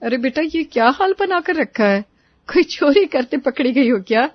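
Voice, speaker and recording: Woman playing high-pitched meddling busybody auntie. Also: animated, normal speed, no echo, dull audio. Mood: nosy, scolding. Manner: taunting, busybody scolding. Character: meddling, judgmental middle-aged neighbourhood aunt. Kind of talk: Hindi animated moral story dialogue.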